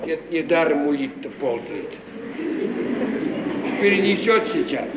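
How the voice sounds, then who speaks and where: An actor playing a grumbling, folksy old manservant who cautions and admonishes, in 1950s Russian radio theatre style. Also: male voice, on the high side, animated, measured pace. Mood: cautioning, sly. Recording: muffled, hissy 1950 radio recording, roomy, distant.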